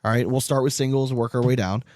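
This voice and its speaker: A man with a mid-pitched voice talks sports as a podcast host in casual, slangy banter. He is blunt and loose with his words, recorded close and dry. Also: steady tone, fast, darkish tone, no echo.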